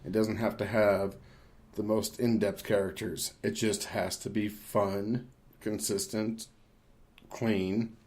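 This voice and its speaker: A man's voice: on the low side, little pitch movement, slow pace, a little mellow. A man talking casually as a podcast host, in a rambling, informal and opinionated way. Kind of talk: casual discussion of games.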